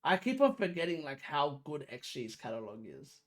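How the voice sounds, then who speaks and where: An excitable reaction host talking casually and exclaiming over a song, with mild swearing and a creaky voice. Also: medium pitch, moderate pace. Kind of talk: casual music reaction commentary. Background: pop music playing.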